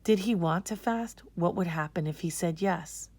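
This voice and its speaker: A woman's voice: lowish voice, moderate pace, darkish tone, no echo. A woman reading a children's storybook aloud in a scripted narration style. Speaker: storybook reader.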